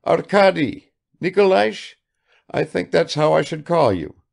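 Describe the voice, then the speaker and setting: A man's voice: on the high side, animated, measured pace, gravelly, pitched above the performer's own voice, heavily acted. A man reading aloud and voicing an eager, garrulous, good-natured old country father who chatters too much.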